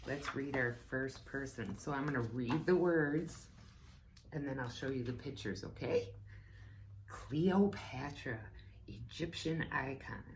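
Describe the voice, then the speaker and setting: A woman reading a book aloud to children as a teacher, slow and deliberate, with playful asides to her listeners. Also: a bass voice, slight background noise. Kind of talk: read-aloud book lesson.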